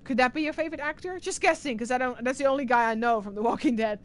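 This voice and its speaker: A woman's voice: sits high, quick pace. A young woman with an Irish accent, chatting casually on a livestream from a room at home, rambling as she answers chat messages. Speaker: streamer.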